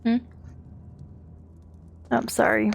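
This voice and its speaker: A woman's voice: a little high, monotone, drawn-out words, gravelly. A woman chatting casually on a live game stream in a laid-back, wry manner. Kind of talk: casual live stream chat while playing.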